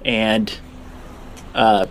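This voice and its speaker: A man improvising narration as game master of a tabletop game, in a halting, informal, descriptive way. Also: fairly low pitch, flat delivery, a bit bright, a little hiss or hum, rough recording.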